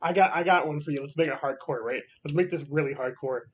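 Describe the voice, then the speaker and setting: A man's voice: fairly high pitch, fast talker, breathy edge, lo-fi sound. A man chatting casually about video games, blunt and slangy, with plenty of swearing.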